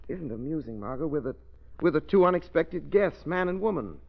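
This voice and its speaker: An actor playing an inquisitive, urbane man investigating a mystery in a 1930s radio drama, deliberate and intent as he explains. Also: a little high, animated, creaky. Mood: urgent, suspicious. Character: inquisitive, urbane amateur sleuth piecing together a sinister plot. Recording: muffled 1930s radio recording.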